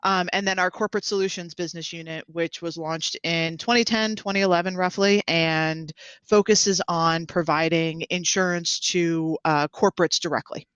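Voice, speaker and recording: A woman guest on a podcast, a trained meteorologist, explaining her work in a measured way. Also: fairly low pitch, steady tone, fairly bright tone, smooth, no room sound. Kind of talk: podcast interview.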